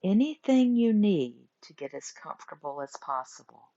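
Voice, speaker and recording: A woman teaching guided meditation, giving gentle instructions in short phrases with pauses between them. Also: medium pitch, big pitch swings. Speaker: yoga nidra meditation teacher. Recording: muffled lo-fi recording.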